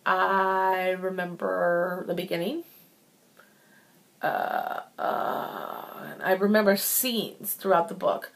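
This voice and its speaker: A woman giving a casual, chatty book review, informal and rambling as she thinks aloud and repeats words for emphasis. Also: medium pitch.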